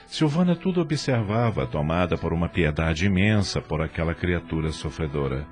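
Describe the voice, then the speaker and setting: A man with a Brazilian accent giving formal, measured scripted narration for an audio drama. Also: deep voice, lively intonation, faint music behind.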